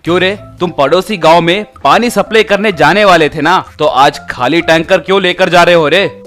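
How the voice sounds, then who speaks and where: A man's voice: sits high, normal speed, a bit bright, soft background music. A man voicing a stern, suspicious village police inspector in a comedy story cartoon, curt and interrogating. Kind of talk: Hindi comedy moral-story cartoon dialogue.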